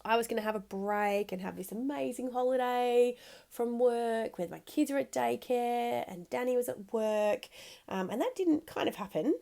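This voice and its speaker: A woman in her thirties with a croaky, congested voice, chatting casually in a voice-over while she scrapbooks, rambling with lots of ums. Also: fairly high pitch, moderate pace, dark tone. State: croaky from a cold. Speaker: scrapbooking video host.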